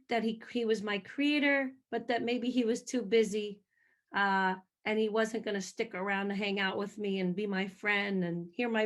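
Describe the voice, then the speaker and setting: Woman singer, high-ish voice, lively hyper storyteller, interview guest. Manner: animated storytelling, self-described hyper. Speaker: singer interviewed about faith. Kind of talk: casual interview answers.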